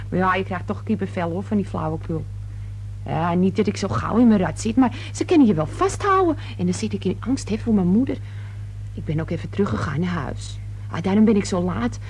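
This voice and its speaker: A young working-class cleaning girl, gabby and indignant, with a husky edge to her mid-pitched voice. She chatters in colloquial radio-drama style. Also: lively intonation, a little fast, darkish tone. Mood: chatty, agitated. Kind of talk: radio play acting.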